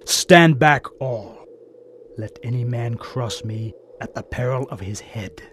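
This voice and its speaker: An actor playing a proud, greedy treasure-seeker in an audio drama, speaking in an imperious, declamatory and archaic manner. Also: male voice, medium pitch, slow pace, fairly bright tone, a little hiss or hum. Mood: menacing defiance. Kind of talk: theatrical audio drama acting.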